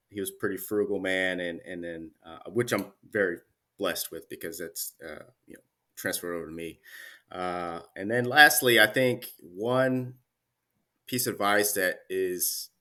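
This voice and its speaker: A man in his thirties, a guest chatting casually in an interview, relaxed with many fillers and restarts. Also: low voice, measured pace. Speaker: Army career manager guest.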